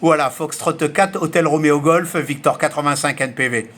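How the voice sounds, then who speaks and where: A man's voice: fairly high pitch, normal speed, noisy background. A man speaking as an amateur radio operator in an informal, explanatory chat, with plenty of filler words. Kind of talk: casual radio contact chat, reciting callsigns phonetically.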